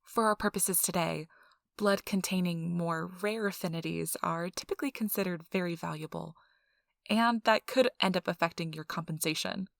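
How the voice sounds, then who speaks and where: A woman playing a friendly, chatty intake interviewer in a soft ASMR role-play, explaining things politely and informally. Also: fairly low pitch, moderate pace, creaky, dry recording, studio quality. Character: friendly, chatty female intake interviewer at a vampire blood donation center.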